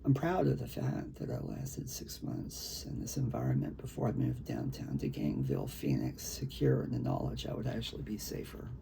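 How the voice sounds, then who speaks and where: A man with a gravelly, creaky voice, a poet talking casually and reading his own work in a halting, conversational way. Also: extremely low pitch, huge pitch swings, noisy background. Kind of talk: casual talk and poetry reading.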